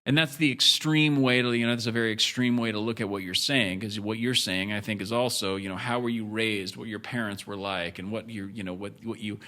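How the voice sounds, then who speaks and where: A man's voice: lowish voice, steady tone, a little fast, hardly any pauses, bright, forceful tone. A man as a guest on a candid podcast, talking bluntly and with some swearing, breaking off and restarting his sentences. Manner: blunt and profane, speaks in bursts with restarts.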